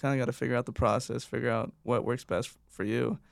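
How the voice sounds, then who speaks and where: A man's voice: mid-range voice, fairly even intonation, fast, vocal fry, no room sound, clean studio sound. A young man who is a personal trainer, answering interview questions in an earnest, agreeable way. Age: young adult. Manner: earnest, agreeable, frequent "yeah".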